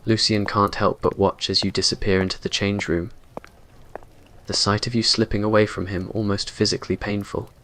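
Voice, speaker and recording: A man's voice: fairly low pitch, a bit bright. A man reading scripted romantic roleplay narration in an ASMR story style.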